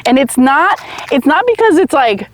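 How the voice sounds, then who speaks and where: A woman's voice: high-pitched, very animated, normal speed. A young woman hosting a casual conversation, who fills her speech with "like" and keeps asking questions. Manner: chatty, many "like" fillers, asks questions. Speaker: host.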